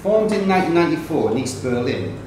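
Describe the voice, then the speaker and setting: A man's voice: fairly high pitch, sing-song, fast. A man acting as quiz master in a pub, reading questions aloud and calling on players by name. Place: pub quiz room, indoors. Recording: very echoey pub room, clear chatter.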